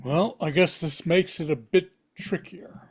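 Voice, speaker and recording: Stern military cleric leader, read by a man: high-pitched, brisk, commanding, table-read drama. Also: breathy edge. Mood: wary.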